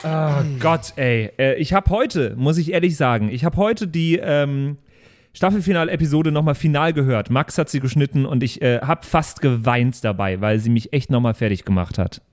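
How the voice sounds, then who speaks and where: A man's voice: medium pitch, normal speed, a little mellow. A man hosting a podcast in casual, colloquial chat, talking in an emotive, exclaiming way. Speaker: podcast host and role-player.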